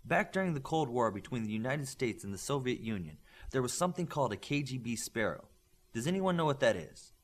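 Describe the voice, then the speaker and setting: A male narrator plays a stern mentor of young superheroes in an audiobook. He lectures firmly and with principle, leaning on rhetorical questions, his mid-pitched voice rising and falling emphatically. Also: sing-song, brisk. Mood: stern, lecturing. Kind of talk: audiobook character dialogue.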